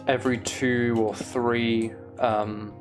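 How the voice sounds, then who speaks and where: A man's voice: medium pitch, level tone, slow pace, music in the background. A man livestreaming a game in casual chat, musing aloud and replying to viewers as he goes. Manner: musing aloud, reads and answers viewer comments. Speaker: live streamer.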